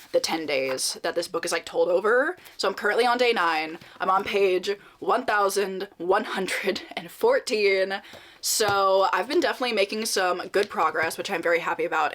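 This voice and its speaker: A woman's voice: on the low side, a bit bright. A young woman chatting casually through her reading vlog, upbeat and laughing often, sounding a bit nasal from a slight cold. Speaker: reading vlogger.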